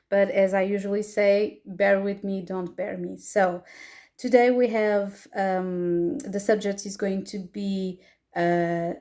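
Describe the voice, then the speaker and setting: Hesitant Portuguese woman, slow and even. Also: medium pitch. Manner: hesitant, many fillers and restarts. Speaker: tarot reader and astrologer. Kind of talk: casual pick-a-card tarot reading.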